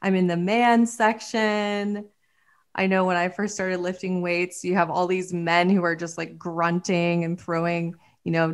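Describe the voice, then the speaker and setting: A woman's voice: lowish voice, smooth. A woman hosting a podcast, responding to her guest with affirming, reflective comments. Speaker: podcast host. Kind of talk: casual podcast interview.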